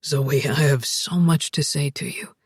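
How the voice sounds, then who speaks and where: An earnest, tender young man speaking low and quietly, as a woman narrator puts him on. His voice is dropped well down and husky. The sound is close and dry. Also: measured pace, dry recording, full character voice.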